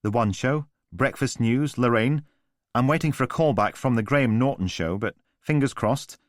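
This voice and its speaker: A man voicing a brisk, pushy young female publicist with an Estuary accent. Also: mid-range voice, no room sound, studio quality, voice pushed up, natural voice. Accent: Estuary English. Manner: brisk and businesslike.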